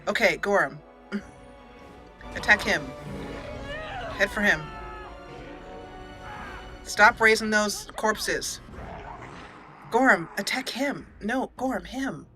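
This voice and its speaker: A woman giving casual, excitable commentary while playing a video game, talking to the characters as she goes. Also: mid-range voice, extremely slow, pauses often, music in the background. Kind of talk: casual let's play commentary.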